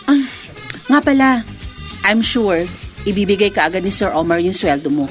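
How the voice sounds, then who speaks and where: A woman's voice: medium pitch, animated, normal speed, close to an everyday voice. A woman playing a gossipy, playful Filipina restaurant co-worker in a radio drama, exclamatory, with a Filipino accent. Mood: teasing. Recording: radio drama with music behind.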